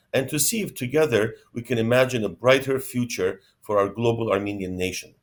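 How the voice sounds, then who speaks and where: A man hosting an interview, reading formal, prepared introductions and questions. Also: on the low side. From Canada. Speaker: host.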